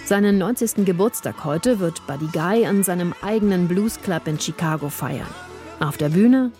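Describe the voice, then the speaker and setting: A woman giving scripted narration for a news feature in an even, measured delivery. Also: on the low side, faint music behind.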